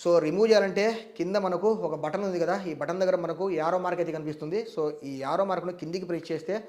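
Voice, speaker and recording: Telugu guy doing a tech review, pretty high voice, talks super fast. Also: steady tone, a little mellow. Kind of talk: product review narration to viewers.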